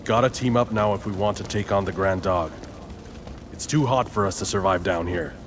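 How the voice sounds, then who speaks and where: An actor playing a tough, pragmatic gang leader in a video game, terse and commanding. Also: male voice, fairly low pitch, normal speed, background noise, lightly acted. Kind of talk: video game cutscene acting. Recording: music behind the voice.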